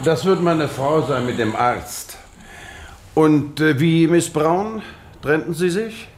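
A man acting in a German radio drama as a courteous, probing investigator, speaking in a measured, ironically polite and rhetorical way. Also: fairly high pitch, a little hiss or hum. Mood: pointed questioning.

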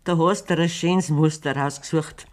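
An actress with a Bavarian accent playing a wronged, defiant middle-aged farmer's wife with sharp, biting retorts in a radio play. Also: female voice, deep voice, fairly even intonation, normal speed, close to an everyday voice. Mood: bitter.

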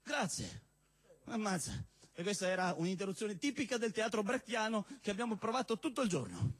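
A man's voice: sits high, very animated, a little fast. A man performing satirical spoken word on stage, declamatory and theatrical, breaking into abrupt asides. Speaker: stage poet and comic performer. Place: live stage at a party show.

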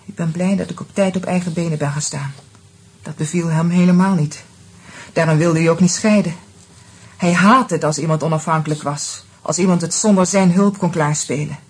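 An actress playing a bitter, independent divorced wife in a radio play, speaking in a measured, pointed way. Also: female voice, lowish voice, fairly even intonation, darkish tone, breathy edge. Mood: defiant, composed. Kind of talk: naturalistic radio drama dialogue.

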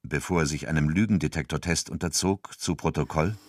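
A man's voice: extremely low pitch, a little fast, darkish tone, slight background noise. A man narrating a crime radio drama from a script as its storyteller.

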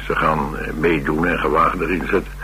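An actor playing a rough, hard-drinking drifter and former mechanic in a radio drama, speaking tersely with dry, slangy nonchalance. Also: male voice, very deep voice, steady tone, normal speed, sharp tone. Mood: wry, nonchalant. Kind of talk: naturalistic Dutch radio drama acting. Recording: radio recording with background hiss and hum.

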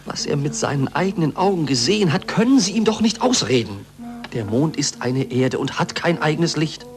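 An actor playing a scientist's fervent disciple in a declamatory, impassioned 1940s German radio play style. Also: male voice, a little high, animated, moderate pace. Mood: awed conviction. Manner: declamatory, impassioned, dropping to hushed tones.

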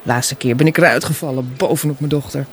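Radio drama mother, mid-fifties: husky, wistful, quietly stubborn, conversational. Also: very deep voice, fast. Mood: wistful, chatty. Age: middle-aged. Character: wistful, gently stubborn mother of fifty-five. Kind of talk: Dutch radio play dialogue, naturalistic.

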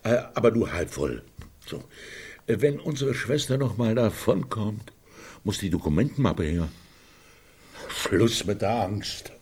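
A man's voice: on the low side, expressive, unhurried, long pauses, a little mellow, breathy edge. An actor playing a pedantic, dry man in a radio play, officious and a little hesitant. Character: pedantic, dry man working through an inheritance checklist. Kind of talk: German radio play acting.

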